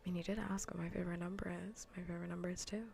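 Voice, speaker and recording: A woman speaks softly and close to the microphone, often slipping toward a breathy whisper. Her voice sits a little low with a clear crackle of vocal fry, and it stays fairly even in tone. She rambles playfully in the manner of a cozy ASMR gaming stream. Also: rapid-fire, soft background music. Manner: playful, rambling, half-whispered casual talk. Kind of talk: soft-spoken ASMR gaming livestream chat.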